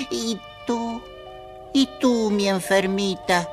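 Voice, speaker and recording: Storybook sun: unhurried, lively swooping delivery, motherly, playful, teasing, music behind. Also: fairly high pitch, exaggerated voice. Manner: playful, teasing, laughing often between phrases. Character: jolly, motherly personified sun cheering on small ones. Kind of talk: children's audio storybook acting.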